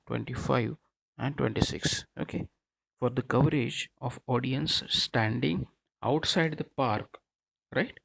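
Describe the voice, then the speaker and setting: A man lecturing in an explanatory, halting way, repeating himself and often checking in with a tagged okay. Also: mid-range voice, vocal fry, slight background noise, rough recording. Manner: halting, repetitive, frequent okay as a tag. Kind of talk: explanatory technical lecture over slides.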